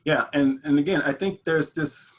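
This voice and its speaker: Husky-voiced male interview host. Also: fairly high pitch, moderate pace. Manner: thoughtful, probing questions. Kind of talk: interview conversation.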